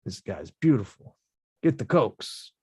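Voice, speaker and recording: A man reading the part of a rough-talking young male boxer at a script table read, slangy and self-mocking. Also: on the low side, very animated, measured pace, darkish tone, dry recording, clean studio sound, exaggerated voice.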